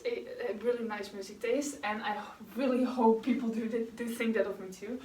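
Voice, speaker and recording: Young Dutch-accented woman, casual rambling vlogger chat, slightly high voice, distant mic in a roomy space. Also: a little hiss or hum. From Netherlands. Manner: chatty and rambling, with fillers and self-corrections. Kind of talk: casual chat while painting.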